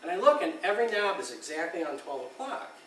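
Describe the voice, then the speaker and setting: High, bright-voiced man telling an anecdote. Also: sing-song, quick pace, slight background noise. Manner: rambling, laughs often, acts out quotes. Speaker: amp designer recounting a story. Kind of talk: casual anecdotal storytelling. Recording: roomy and distant with faint ambience.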